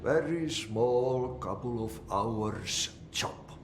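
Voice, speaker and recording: An actor with a Finnish accent playing an eccentric, cheerful janitor who talks slowly in a sing-song way and mangles idioms. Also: male voice, medium pitch, animated, reverberant, slight background noise. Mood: rambling. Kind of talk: video game cutscene acting.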